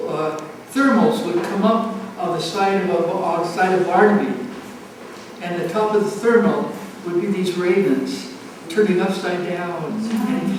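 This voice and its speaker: Woman in her nineties, halting delivery, repeated phrases, personal reminiscence, very reverberant community hall, distant microphone. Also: fairly low pitch, a little mellow. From United States. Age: 90s. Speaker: speaker at a family art show. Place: community center event room. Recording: echoey, noisy room, distant microphone.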